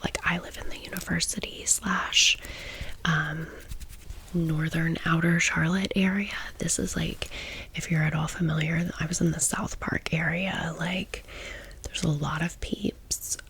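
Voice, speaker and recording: A woman's voice: fairly low pitch, vocal fry, fairly bright tone, rough recording. An American woman in her thirties whispering a personal story as an ASMR host, chatty and confiding, drifting into asides.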